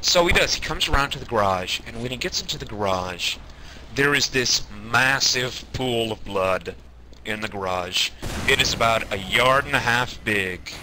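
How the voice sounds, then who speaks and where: A man telling a comedic anecdote in an animated way, acting out the quotes as he goes. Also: medium pitch, moderate pace, crowd noise. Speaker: storyteller, online gamer. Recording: noisy amateur game-session recording.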